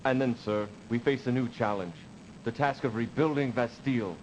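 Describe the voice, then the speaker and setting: A man's voice: mid-range voice, natural voice. Man acting a formal, cautious military aide. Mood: dutiful. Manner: formal, measured briefing. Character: cautious, dutiful male military aide to a commander. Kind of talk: dramatic video game cutscene acting. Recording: slightly noisy old game audio.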